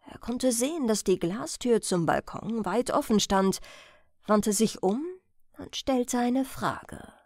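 A woman's voice: on the high side, takes it slowly, a little mellow, dry recording, clean studio sound. A woman narrating a crime audiobook as a scripted reading.

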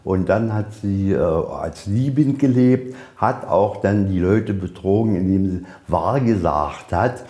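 A man with a low, mellow voice leads an informal museum tour. He narrates in a folksy way, putting questions and answering them himself. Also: takes it slowly, few pauses. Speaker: museum guide. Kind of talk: informal guided tour storytelling. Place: inside a museum.

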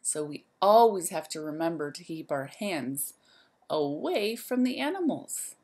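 A woman's voice: lowish voice, very animated, unhurried, a little mellow. A woman in her thirties, a teacher reading a picture book aloud to young children in a sing-song way, speaking to them directly.

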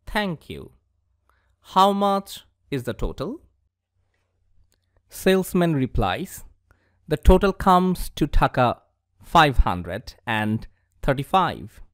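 A man teaching a school lesson in a voice-over, reading a dialogue aloud in a slow, deliberate way. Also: on the high side, a bit bright. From Bangladesh. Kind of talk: scripted English lesson for schoolchildren. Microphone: voice-over over slides.

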